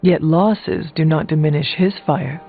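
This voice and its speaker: An earnest, higher-voiced loyal warrior. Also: male voice, measured pace, creaky. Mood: earnest, reassuring. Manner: formal, earnest, plain-spoken. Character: earnest, steadfast warrior, formal and loyal supporter. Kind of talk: video game character dialogue. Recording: muffled game audio under music.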